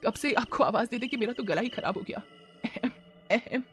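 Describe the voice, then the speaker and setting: Man voicing a high, wheedling sly witch. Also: moderate pace, soft background music. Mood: pleading. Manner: wheedling, flattering. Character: sly witch posing as a pleading servant woman.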